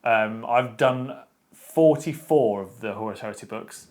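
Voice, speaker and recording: A man's voice: medium pitch, unhurried. A man co-hosting a casual book discussion, speaking in a blunt, opinionated way. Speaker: book discussion show co-host. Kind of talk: casual opinionated chat about books.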